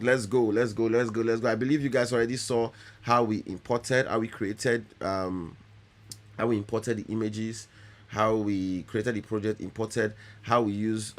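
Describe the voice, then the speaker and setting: A man with a fairly low voice leads a live photo-editing tutorial from his computer. He talks loosely, repeats words and restarts his sentences as he clicks through the software. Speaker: photography instructor.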